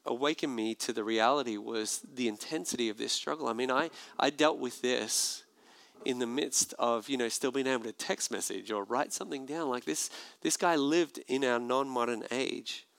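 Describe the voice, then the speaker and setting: Male pastor, gravelly mid-pitched voice, casual sermon delivery, dry close sound. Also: dry recording. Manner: conversational, animated preaching with asides.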